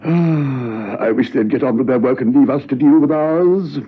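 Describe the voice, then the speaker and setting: An actor playing a suspicious, officious government boss who starts hesitantly in a radio drama. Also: male voice, medium pitch, normal speed, slightly rough, natural voice. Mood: grudging, officious. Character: fussy, suspicious male government department boss. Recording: muffled phone-like old radio recording.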